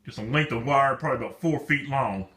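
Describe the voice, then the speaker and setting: A man's voice: medium pitch, fast talker, a bit bright, breathy edge. A man giving a casual demonstration of a product as its seller, talking off the cuff.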